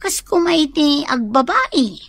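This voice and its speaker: A woman's voice: high-pitched, big pitch swings, slow, a bit bright, no echo, full character voice. A woman playing a wary friend who speaks in hints, in a radio drama. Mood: probing. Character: hinting, wary female friend of the wife. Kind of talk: Ilocano radio drama acting.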